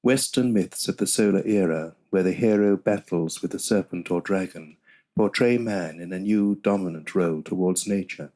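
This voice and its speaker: A man reading a nonfiction audiobook in a measured, deliberate way. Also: low-pitched, warm tone. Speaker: audiobook narrator. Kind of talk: scripted nonfiction audiobook narration.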